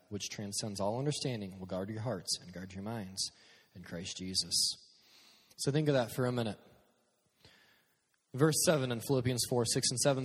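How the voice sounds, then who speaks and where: Husky youth pastor preaching in a hall. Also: male voice, mid-range voice. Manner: casual, energetic preaching with sudden drops to quiet prayer. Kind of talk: youth sermon. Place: church hall with a live sound system. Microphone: stage microphone.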